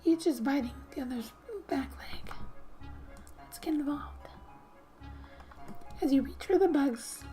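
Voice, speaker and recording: Soft, high-voiced woman doing ASMR gaming. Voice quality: soft, often dropping to a whisper. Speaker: video game streamer. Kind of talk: soft-spoken ASMR gaming commentary and read-aloud. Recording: quiet background music. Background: soft music.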